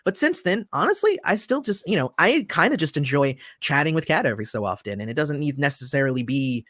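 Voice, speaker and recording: A man hosting a casual livestream, answering viewer comments in a relaxed, rambling way with lots of fillers, often trailing off softly. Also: a little high, a little fast, no echo, studio quality. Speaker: channel host.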